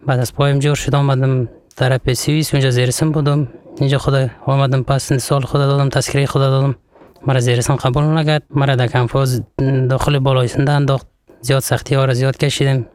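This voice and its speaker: Young Afghan man, Afghan Dari accent, rapid and flat, halting personal testimony. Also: medium pitch, no echo. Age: young adult. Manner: halting, plain sentences with restarts. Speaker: interviewee.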